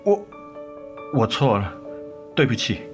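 Cheating husband, widely swinging pitch. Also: male voice, very animated, slow pace. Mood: remorseful. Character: cheating husband and father, defensive, pleading, remorseful. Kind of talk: Mandarin radio drama acting. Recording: studio radio drama with music underneath.

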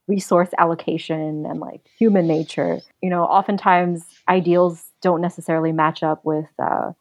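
Thoughtful young woman, low-pitched and dry. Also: no echo. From United States. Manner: thoughtful, earnest, measured. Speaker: podcast guest. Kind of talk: casual interview.

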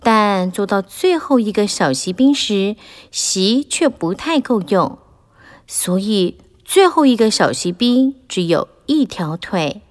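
A woman narrating a children's audiobook in a gentle, expressive storytelling manner. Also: medium pitch, slow pace.

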